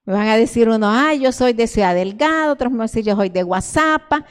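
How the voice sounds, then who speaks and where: An older woman from El Salvador speaks with a Salvadoran Spanish accent as she teaches a sermon to her church. Her delivery is fervent and repetitive, with frequent stumbling restarts, in a fairly high voice. The sound rings with the long reverb of a church hall. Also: fairly quick, clean tone.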